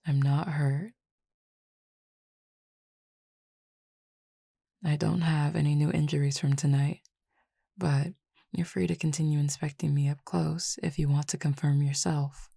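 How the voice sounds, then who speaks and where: Low, husky Cupid roommate, acted by a woman. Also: fairly even intonation, dry recording, studio quality, heavily acted. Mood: teasing, fond. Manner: formal, measured, softly teasing. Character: calm, teasing supernatural Cupid roommate, an emotion feeder. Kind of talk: scripted roleplay audio drama, intimate.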